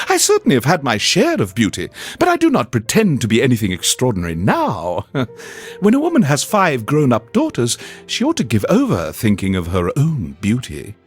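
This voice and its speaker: Casting: excitable middle-aged wife, husky falsetto, wildly swinging pitch, fluttery and exclamatory, for a storybook reading. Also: male voice, normal speed, soft background music. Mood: gushing. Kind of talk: storybook reading in a game radio show.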